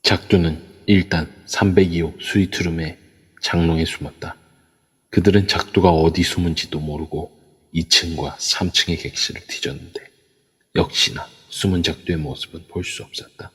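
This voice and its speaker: Brief: steady male narrator, very low and husky, audio drama. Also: moderate pace. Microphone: phone via a voice chat app. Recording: long reverb.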